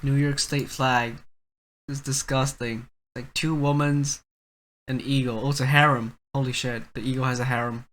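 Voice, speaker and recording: Young man, bright sharp voice, podcast co-host, jokey teasing banter. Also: mid-range voice, flat delivery, moderate pace. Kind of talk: casual joking banter.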